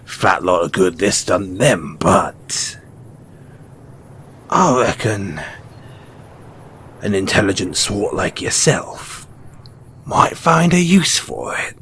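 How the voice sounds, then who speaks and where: A man playing a shrewd, mysterious post-apocalyptic merchant in an audio roleplay, speaking in an insinuating, theatrical way with drawn-out pauses. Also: deep voice, sing-song, fairly bright tone, a little hiss or hum, exaggerated voice. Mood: wry haggling. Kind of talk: audio roleplay character acting addressed to the listener.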